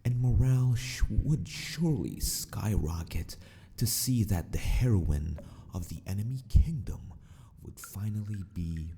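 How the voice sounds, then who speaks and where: A man acting a sadistic, possessive mad scientist in an ASMR roleplay, speaking with formal, mock-polite theatricality and often slipping toward a breathy whisper. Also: sits low, mellow, exaggerated voice. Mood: coldly menacing. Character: sadistic, possessive male mad scientist of an empire, mockingly courteous. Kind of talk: ASMR villain roleplay monologue.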